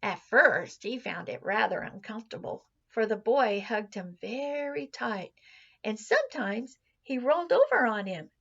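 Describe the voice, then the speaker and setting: A woman reading a children's story aloud like a teacher, expressively, with dramatic pauses and drawn-out words. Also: fairly high pitch, sing-song, creaky, fairly bright tone, muffled recording.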